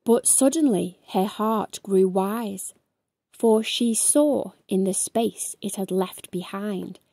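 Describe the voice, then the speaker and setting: Unhurried, mellow woman reading a storybook. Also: mid-range voice, creaky, slightly rough, no echo. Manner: measured bedtime-story delivery in verse. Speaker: storybook narrator. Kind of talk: rhyming picture book read aloud.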